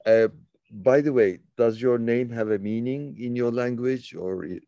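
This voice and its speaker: A man from Turkey hosting a conversation over a video call, talking slowly with many fillers and restarts. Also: medium pitch, mellow, no room sound. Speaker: interview host. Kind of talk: casual conversation.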